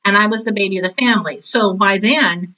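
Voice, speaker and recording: A woman's voice: mid-range voice, a little fast, rough recording. An older woman in her sixties or beyond, speaking as an interview guest in a candid, blunt, conversational way, often acting out quoted remarks. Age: 60s or older. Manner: blunt, animated storytelling with self-quotes. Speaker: interview guest, veteran theater teacher.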